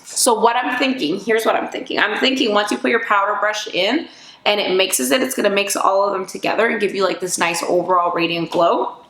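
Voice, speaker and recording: A chatty woman talks through a makeup review with bubbly energy, her voice a bit raspy from just waking up. Her pitch sits in an ordinary middle range. The room she records in gives her voice a noticeable echo. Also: brisk, a bit bright. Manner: bubbly and chatty, full of fillers. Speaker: beauty vlogger. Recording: echoey room.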